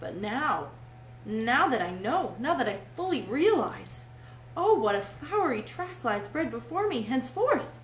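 Storybook Toad read by a woman: entranced gentleman toad, high, swooping murmurs of bliss, roomy sound. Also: sing-song, normal speed, slight background noise. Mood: dreamy, exalted. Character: dreamy, rapturous male toad obsessed with motor cars.

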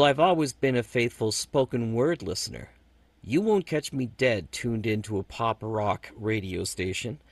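A man's voice: medium pitch, no room sound. A man hosting a podcast, introducing the show in a personal, talky way. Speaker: podcast host.

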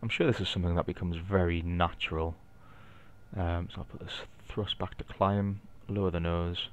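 A man's voice: low voice, normal speed. A man with a Geordie accent casually narrating a flight simulator session, explaining each step and thinking aloud. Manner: relaxed, explanatory, thinking aloud with light asides. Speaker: flight simulator video maker. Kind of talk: casual narrated gameplay walkthrough.

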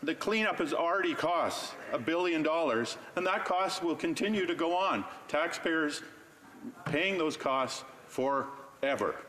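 A man's voice: on the high side, lively intonation, unhurried, a bit bright. A man, a member of parliament, giving a prepared floor speech and pressing pointed rhetorical questions. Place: legislative chamber.

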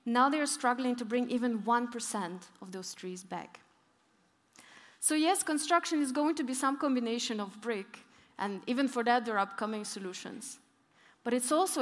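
A young woman giving a conference keynote on stage, telling stories and leaning hard on her key points. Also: a little high. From northern Europe, Arctic region. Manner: storytelling, lively emphasis on key points. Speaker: keynote speaker. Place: large conference hall on stage. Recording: long hall reverb.

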